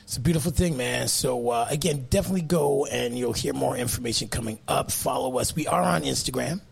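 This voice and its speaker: A man's voice: medium pitch, brisk, creaky. A man hosting a radio show, talking in an upbeat, conversational way and plugging the show. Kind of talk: casual radio chat.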